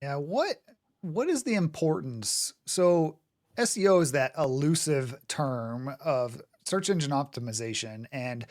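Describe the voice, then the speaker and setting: Man, podcast host, fairly high voice, upbeat, long winding questions. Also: lively intonation, unhurried, darkish tone. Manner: upbeat, rambling questions with restarts. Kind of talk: podcast interview hosting.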